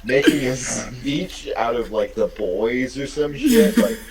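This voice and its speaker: A man's voice: mid-range voice, sing-song, slow, a loud crowd, low-quality recording. A young man co-hosting a podcast, mocking and sarcastic, with plenty of swearing. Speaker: podcast co-host. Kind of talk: casual profane reaction chat.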